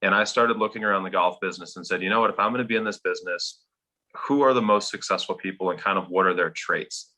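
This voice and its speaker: A man's voice: low voice, quick pace, pushed and bright, poor audio. A man in his thirties from Minnesota, with a faint Minnesotan accent, telling his story as a golf pro in a casual interview. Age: 30s. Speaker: golf professional guest.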